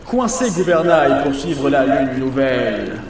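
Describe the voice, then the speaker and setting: A man's voice: medium pitch, lively intonation, a little slow, creaky. A man playing a pompous, irritable disembodied clone messiah in a comedy audio drama. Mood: grandiose. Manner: grandiose riddles breaking into blunt swearing. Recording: very echoey, distant, faint noise.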